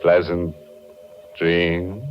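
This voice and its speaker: A man's voice: low-pitched, little pitch movement, very slow. A man playing a sardonic, ghoulish horror-show host in 1940s radio style, sly and tongue-in-cheek. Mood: gleefully macabre. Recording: muffled 1940s radio, organ-era music behind.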